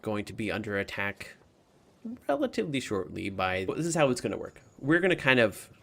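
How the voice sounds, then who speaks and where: A man's voice: lowish voice, big pitch swings, fairly quick, gravelly, fairly bright tone. A man speaking informally and chattily as a game master, narrating and commenting on a tabletop campaign.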